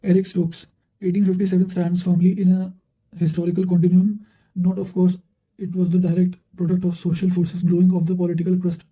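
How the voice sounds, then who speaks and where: A man reads study material aloud in a high-pitched voice for a male. His delivery is extremely flat, with almost no melodic movement, and the tone is very soft-edged and dark. He now and then stumbles and repeats a word before carrying on. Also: low-quality recording. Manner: stumbles over words and repeats them while reading. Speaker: exam revision narrator reading out quotations. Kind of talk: read-aloud of study notes.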